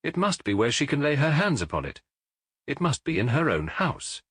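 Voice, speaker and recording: A man voicing a brilliant, self-assured Victorian gentleman detective in an audiobook, with an English accent and a precise, authoritative way of giving instructions. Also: on the high side, very animated, moderate pace, breathy edge, no room sound, clean studio sound, pitched above the performer's own voice, exaggerated voice. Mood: confident, reasoning. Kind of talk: audiobook character acting.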